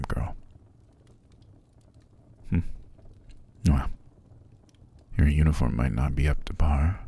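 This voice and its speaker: A man playing a cruel, haughty, possessive vampire master in an ASMR roleplay, with a gravelly, breathy voice and a condescending, commanding manner. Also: a bass voice, mellow, full character voice. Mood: irritated, disdainful. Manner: slow, condescending, commanding, drifting into whispers. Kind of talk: ASMR roleplay, scripted character monologue.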